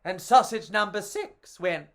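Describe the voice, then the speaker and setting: Slow, theatrical children's storyteller with animated delivery. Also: medium pitch. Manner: theatrical, expressive.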